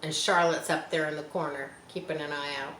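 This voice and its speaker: A woman's voice: deep voice, fairly even intonation, fairly quick. A woman reading a storybook aloud to children expressively, with explanatory asides. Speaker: storybook reader. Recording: echoey room, faint background noise.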